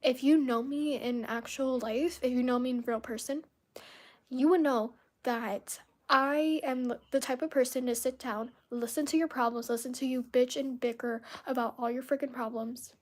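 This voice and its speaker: A woman's voice: high-pitched, steady tone, normal speed. A young woman whose first language is Spanish, talking casually and emphatically on a live stream, full of fillers and restarts. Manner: venting, emphatic, filler-heavy with frequent restarts.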